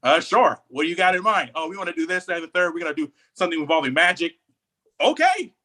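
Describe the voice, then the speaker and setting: A man's voice: a little high, animated, fast talker, sharp tone. A man co-hosting a podcast, talking casually and giving opinions with emphatic, repeated phrasing. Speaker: podcast co-host.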